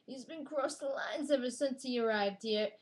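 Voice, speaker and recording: A woman voicing a middle-aged smoker who reads a storybook aloud theatrically, with a raspy, affected voice. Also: sits high, normal speed, voice pushed up, lightly acted. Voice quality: smoker's rasp. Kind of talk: dramatic storybook reading.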